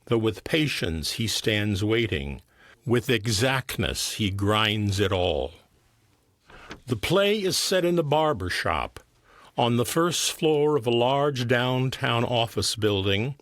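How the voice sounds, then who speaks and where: A man giving measured, formal scripted narration as the announcer of an audio play. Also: medium pitch, dry recording. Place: professional recording studio.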